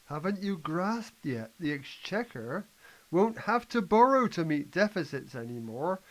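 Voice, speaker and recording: A man's voice: a little high, big pitch swings, measured pace, poor audio. A man in an amateur play playing a confident, impatient economic reform advocate, lecturing emphatically and stumbling slightly over lines. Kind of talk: amateur scripted play reading.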